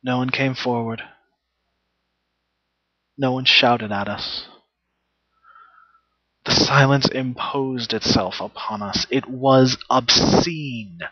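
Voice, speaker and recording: Man performing a slow, theatrical wounded travelling player. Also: mid-range voice, sharp tone, no room sound. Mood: haunted, hushed. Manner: theatrical, emphatic, deliberate, with long pauses. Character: wounded, indignant theatrical travelling stage actor, male. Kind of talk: dramatic stage monologue. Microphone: headset. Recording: muffled, dull headset recording.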